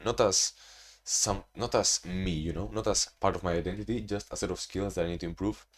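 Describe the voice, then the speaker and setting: A young man talking casually in a video diary, rambling and restarting, in a monotone way. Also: deep voice, brisk. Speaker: vlogger.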